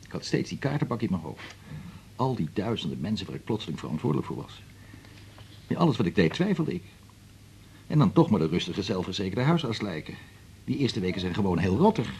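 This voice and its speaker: An actor playing a practical, reassuring family doctor in a radio drama, brisk and matter-of-fact. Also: male voice, on the low side, big pitch swings, gravelly, a little mellow, a little hiss or hum. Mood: matter-of-fact, sympathetic.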